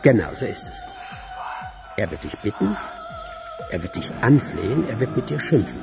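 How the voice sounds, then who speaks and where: An actor playing a manipulative, coaxing male film director in a radio crime drama, with a creaky voice. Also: fairly low pitch, big pitch swings, moderate pace. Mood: coaxing, instructive. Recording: clear music behind the dialogue.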